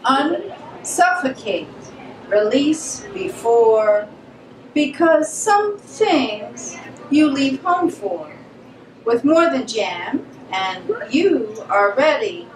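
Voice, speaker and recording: Very slow, high-voiced woman reciting poetry. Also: animated. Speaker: poet. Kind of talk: poetry reading. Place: pub room, live reading. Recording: echoey pub room, distant mic, faint noise.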